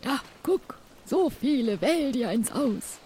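A woman's voice: high-pitched, animated, slow, dark tone, slight background noise. A woman playing a chummy, eager pirate companion in an improvised audio play. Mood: friendly, playful. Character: chummy female pirate companion, diving-suit expert.

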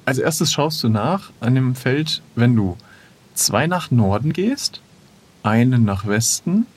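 A man with a husky, mid-pitched voice plays along in an improvised adventure game. He talks casually and hesitantly, feeling his way with questions and choices. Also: expressive, takes it slowly. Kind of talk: casual improvised role-play.

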